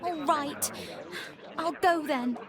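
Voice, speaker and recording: Very high-voiced hurt girl. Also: slow, a crowd in the background. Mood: disappointed. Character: hurt, resentful young English girl, detective's ward. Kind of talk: dramatic video game voice acting.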